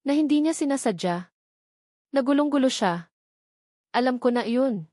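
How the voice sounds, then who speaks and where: A woman's voice: high-pitched, animated, normal speed, dark tone, no echo, clean studio sound. A woman with a Filipino accent reads a scripted first-person dramatic story in short, earnest, sentimental phrases. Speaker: story narrator.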